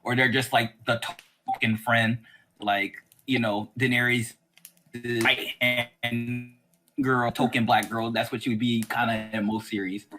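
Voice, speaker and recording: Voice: mid-range voice, little pitch movement, unhurried, pushed and bright, soft background music. A podcast co-host chatting casually with opinions, rambling and often qualifying points, sometimes acting out what others might say.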